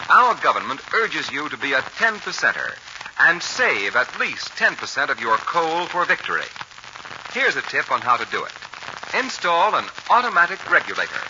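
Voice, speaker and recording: A man reading a scripted 1940s radio advertisement as an announcer, with an earnest, measured salesman delivery. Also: medium pitch. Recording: muffled, noisy 1940s radio recording.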